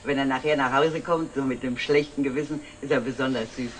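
A woman's voice: a bass voice, fairly even intonation, fairly quick, a little hiss or hum. An actress playing an indulgent, unruffled middle-aged mother in a 1960s German TV drama, with a teasing manner. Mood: wry, indulgent.

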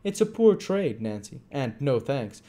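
Man acting old male crook, storybook villain, raised pitch, wheedling, falsely affectionate, repetitive. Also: big pitch swings, measured pace, mellow. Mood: wheedling, secretive. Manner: coaxing, falsely affectionate, repeats himself. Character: wheedling, sly old male crime boss of pickpockets. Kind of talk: acted dialogue in a classic novel reading.

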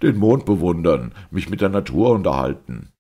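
Narrator playing a cocky army captain, gravelly, loud and careless. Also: male voice, low-pitched, moderate pace, voice pushed up, full character voice. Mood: defiant. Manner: excited, careless. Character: defiant, carefree Irish army captain.

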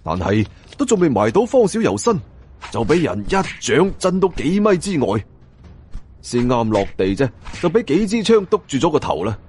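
A man's voice: fairly high pitch, sing-song, moderate pace, darkish tone, slight background noise. A man with a Cantonese accent narrating a scripted first-person story in a dramatic style. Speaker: audiobook narrator.

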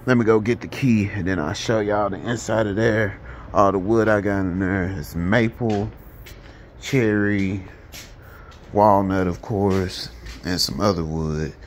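Guy, real deep voice, easygoing slow talk, phone mic in a carport w/ faint noise. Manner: relaxed, rambling, informal Southern grammar. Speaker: woodworker giving a shop tour. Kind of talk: casual walkthrough vlog. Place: carport workshop, partly outdoors. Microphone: handheld phone filming as he walks.

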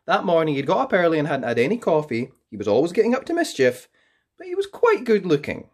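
Very animated children's storyteller. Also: mid-range voice. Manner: playful, theatrical, drawn-out emphasis on key words. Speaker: storyteller, host of a read-aloud show. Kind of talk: expressive read-aloud of a children's picture book.

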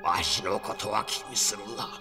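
An actor playing an old grandfather's spirit, grave and deliberate, counseling his grandson in an audio drama. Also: lowish voice, over music, natural voice. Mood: grave, urging. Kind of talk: Japanese audio drama acting.

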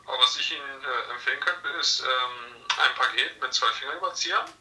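A very bright, hard-edged male voice comes from a phone speaker, distant in the room. He is a salesman advising a customer, polite, factual and a bit hesitant. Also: medium pitch, brisk, reverberant. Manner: matter-of-fact, polite, hesitant. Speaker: sex shop salesman. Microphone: phone loudspeaker picked up in room.

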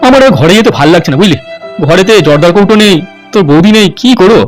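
Vengeful cartoon crime boss acted by a man: high male voice, very rapid, bossy and foul-mouthed. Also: animated, soft background music. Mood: brooding, aggrieved. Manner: blustering, bossy, coarse. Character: vengeful, swearing male gang boss and politician. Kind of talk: Bengali comedy cartoon voice acting.

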